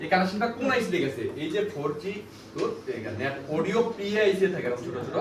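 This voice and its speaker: Male repair instructor, high voice, emphatic question-and-repeat teaching, distant echoey classroom sound. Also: expressive, fast. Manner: repetitive, emphatic teaching with frequent rhetorical questions. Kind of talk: classroom lesson, explaining with check questions. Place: indoor classroom. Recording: echoey room, distant mic, faint background noise.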